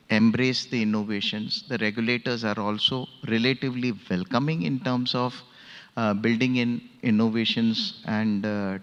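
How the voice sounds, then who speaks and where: A man's voice: mid-range voice, a bit bright. A man answering as a panelist, explaining at length and checking in with the audience. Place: large conference hall. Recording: dull lo-fi audio in an echoey hall.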